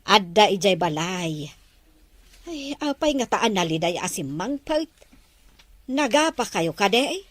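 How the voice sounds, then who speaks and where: A woman's voice: mid-range voice, big pitch swings, takes it slowly, pauses often. A woman playing a concerned, talkative middle-aged Filipino mother who chides and counsels in a family drama. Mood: gently probing. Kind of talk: naturalistic drama dialogue.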